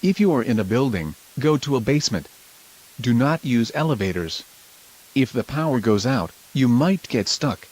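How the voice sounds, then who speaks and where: A man playing a local TV news anchor in a scripted emergency broadcast, speaking in a formal, measured way. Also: mid-range voice, lively intonation, a little mellow, lightly acted, poor audio. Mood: grave, instructive. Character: local TV news anchor reporting a nuclear attack.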